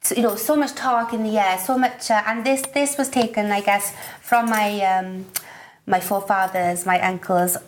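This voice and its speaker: A woman's voice: mid-range voice, steady tone, normal speed, slight background noise. A woman from Wales, a spoken word poet talking through her poem in an earnest, thoughtful way. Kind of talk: reflective chat about her poem.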